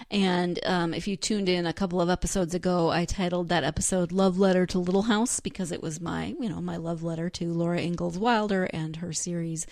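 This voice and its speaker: Woman, podcast host, somewhat low pitch, casual solo chat, rambling, self-correcting, dry room sound. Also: a little mellow, dry recording. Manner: chatty, rambling, thinking aloud with self-corrections. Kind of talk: casual solo book chat, loosely summarizing notes.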